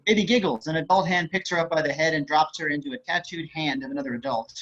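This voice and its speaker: A man's voice: fairly high pitch, fast, a bit bright. A man reading stage and screen directions aloud as scripted narration. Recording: muffled online call audio.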